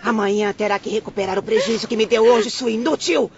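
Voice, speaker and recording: A woman's voice: a little high, fairly quick, natural voice. An actress playing an abusive, greedy, hot-tempered fishwife mother who scolds and insults in an audio drama. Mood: raging. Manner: scolding, shouting, harsh, insulting. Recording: dull lo-fi audio, busy background crowd.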